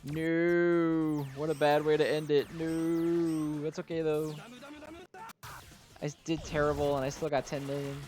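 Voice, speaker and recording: A man's voice: a little high, level tone, unhurried, a little mellow, faint music behind. A man hosting a gaming video with casual, gushing, enthusiastic commentary, often slipping "like" into his sentences.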